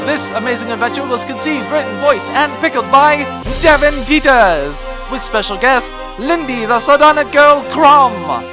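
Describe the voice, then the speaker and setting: A man with a high voice works as the announcer of a comic serial. He reads in a scripted, mock old-time adventure style, with music clearly behind him. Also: expressive, moderate pace, few pauses.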